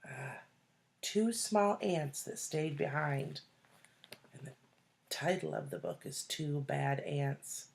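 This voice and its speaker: A woman reading a children's storybook aloud like a teacher, deliberately, pausing to explain and put questions to young listeners. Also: deep voice, creaky. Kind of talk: expressive storybook read-aloud with asides and questions.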